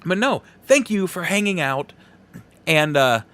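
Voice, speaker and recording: A man's voice: a little high, sing-song, unhurried, a bit bright. A man hosting a podcast, talking casually and informally through a solo movie review with rambling asides. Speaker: podcast host.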